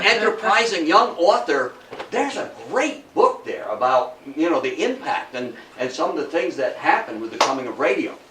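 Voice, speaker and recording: High-pitched man lecturing in a roomy space. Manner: animated storytelling with rhetorical questions and asides; folksy, emphatic, repeats phrases for effect. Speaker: history lecturer. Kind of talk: live talk to a room. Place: indoor room, talk before an audience. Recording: roomy, distant mic, faint background noise.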